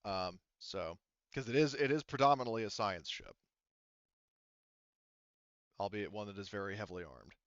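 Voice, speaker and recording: A man working as game master, explaining tabletop rules casually in a wordy, halting way that often doubles back on itself. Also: fairly low pitch, a bit bright, dry recording, highs cut off. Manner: halting, self-interrupting, wordy.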